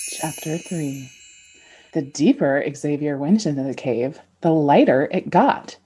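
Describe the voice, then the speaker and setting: A woman playing an earnest storybook narrator, giving a measured, dramatic fairy-tale reading. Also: deep voice, mellow, slight background noise, pitched below the performer's own voice. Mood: earnest, wondrous.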